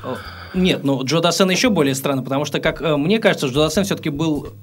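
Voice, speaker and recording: A man's voice: fairly high pitch, fast talker, faint music behind. A man hosting a casual studio chat, asking guests questions with many fillers and restarts. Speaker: radio host.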